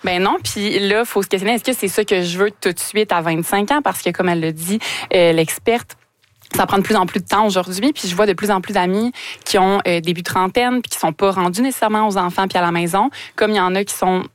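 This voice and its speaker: A woman's voice: mid-range voice, fairly quick, no echo. A 25-year-old woman, a guest on a radio panel, speaking reflectively with many fillers.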